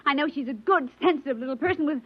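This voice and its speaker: A woman's voice: high voice, fast, close to an everyday voice. An actress playing a patient, protective, worried mother and wife in a 1950s radio drama, soothing and pleading. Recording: muffled vintage 1950s radio recording.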